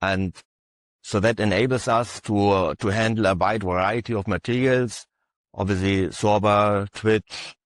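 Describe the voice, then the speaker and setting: A man presenting his company's equipment at an industry session, speaking haltingly with many filler uhs. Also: fairly low pitch, steady tone, no echo. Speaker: conference panelist.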